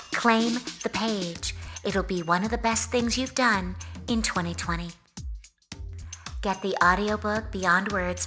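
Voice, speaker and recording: An American woman reading her memoir as an author, with dramatic, deliberate phrasing and acted-out dialogue. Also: fairly low pitch. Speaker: author reading own book. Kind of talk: expressive audiobook memoir narration.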